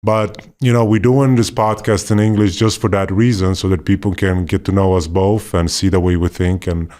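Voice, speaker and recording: Laid-back lower-voiced man chatting. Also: moderate pace. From Poland. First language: not Polish; left Poland at five. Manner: relaxed, rambling, enthusiastic. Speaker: podcast co-host, former basketball player. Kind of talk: casual podcast conversation.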